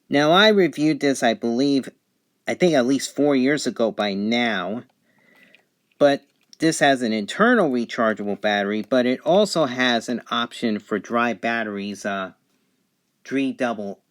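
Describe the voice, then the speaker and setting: A man giving an unscripted product review, speaking haltingly in short phrases with pauses between them. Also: mid-range voice. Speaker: gadget reviewer. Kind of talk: unscripted product review, describing features on camera.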